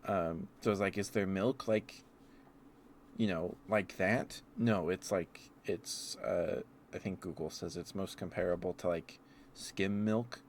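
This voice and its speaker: Low-voiced man rambling on an ASMR stream. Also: fairly even intonation, unhurried, slight background noise. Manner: meandering, often chuckling to himself. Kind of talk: rambling ASMR livestream chat.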